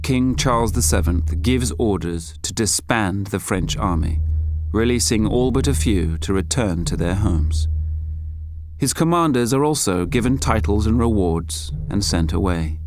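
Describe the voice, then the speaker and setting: A man's voice: lowish voice, lively intonation, measured pace, background noise. A man giving dramatic scripted narration as the narrator of an audio drama.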